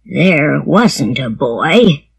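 A woman voicing a quiet, shy, kindly farmer in a storybook, speaking gently and hesitantly. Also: low voice, sing-song, vocal fry, breathy edge, pitched below the performer's own voice, exaggerated voice. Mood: unhappy. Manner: gentle, hesitant, slow-spoken. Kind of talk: storybook character voice.

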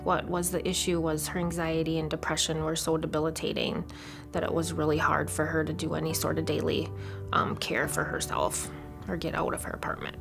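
Low, creaky American woman. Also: flat delivery, fairly quick. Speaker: case manager. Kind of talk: interview testimonial. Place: indoor interview room. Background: music.

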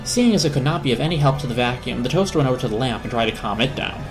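Man's dramatic storybook narration, mid-pitched. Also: lively intonation, racing, over music.

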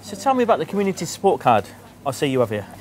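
A man presenting and interviewing shop owners in brisk, upbeat questions. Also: fairly high pitch, very animated, a little mellow, a little hiss or hum. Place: shops and community hall, indoors.

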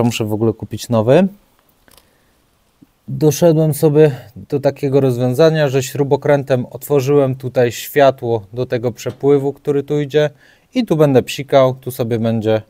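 A man's voice: mid-range voice, mellow. Chatty man explaining car repair. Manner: chatty, frank, self-deprecating. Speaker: car mechanic vlogger. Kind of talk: casual how-to commentary. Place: open home garage, rain outside.

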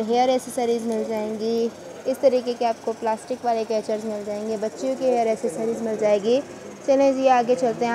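A chatty Pakistani woman with a slightly high voice films herself touring market stalls. She talks enthusiastically and quizzes shopkeepers on prices. Clear market noise runs behind her phone recording. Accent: Pakistani Urdu. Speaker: shopping vlogger. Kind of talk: market tour vlog. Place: busy indoor market shops.

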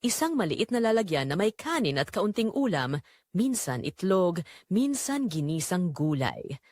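Woman acting an earnest confessional mother, lively pitch. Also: unhurried, dry recording, clean studio sound. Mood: earnest, burdened. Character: poor, devoted, guilt-burdened mother confiding a secret. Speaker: narrator of an audio drama. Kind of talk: scripted dramatic first-person narration.

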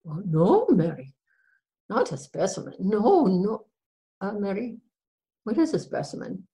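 A woman plays a worried, none-too-bright husband in a folksy comic tale. The voice is creaky, with an Irish brogue, pleading and repeating itself as it insists. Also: medium pitch, very animated, a little slow, poor audio. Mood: dismayed, baffled. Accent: affected Irish brogue. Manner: fretful, pleading, repetitive. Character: worried, insistent, slightly dim old-country husband.

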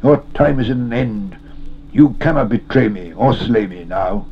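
A man's voice: medium pitch, expressive, normal speed, natural voice. A man voicing a stern, resolute male hero in an audiobook, solemn and commanding in archaic speech. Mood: furious command. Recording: dull lo-fi audio, clear noise.

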